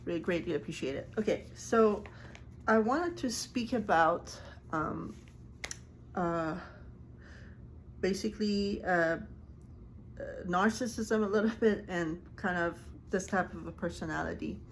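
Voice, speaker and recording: A woman whose first language is Farsi talks to camera as a life coach, her voice sitting slightly low. She is earnest and halting, with plenty of ums and restarts. Faint noise hums under the recording. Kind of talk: casual talk to camera.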